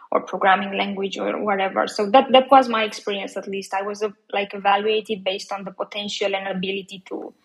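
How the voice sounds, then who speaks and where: A woman's voice: medium pitch, creaky, poor audio. A woman in her thirties from Romania, talking casually as an interview guest, with lots of fillers and restarts. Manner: chatty, many fillers and restarts.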